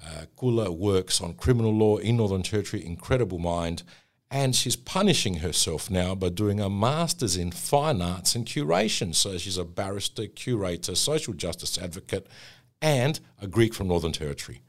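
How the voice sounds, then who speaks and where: A man's voice: deep voice, lively intonation, moderate pace. A man hosting a podcast interview, chatty and fond of asking leading questions. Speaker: podcast host.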